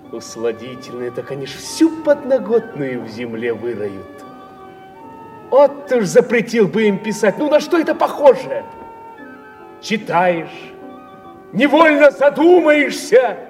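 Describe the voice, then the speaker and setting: A man's voice: high-pitched, darkish tone, full character voice. An actor playing a grumbling, indignant man scolding storytellers in a declamatory theatrical style. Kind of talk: theatrical recited epigraph. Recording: echoing hall, distant, music behind. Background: music.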